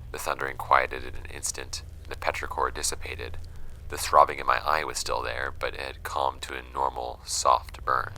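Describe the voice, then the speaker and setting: A weary investigator played by a man reads case files in an extremely low, gravelly voice. The sound is very sharp and forceful, with measured, brooding delivery and a dry, sardonic edge, as in an audio drama. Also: little pitch movement, a little hiss or hum, heavily acted, low-quality recording. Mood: brooding, uneasy. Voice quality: very deep, gravelly. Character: weary, wry young male amateur investigator recording case files.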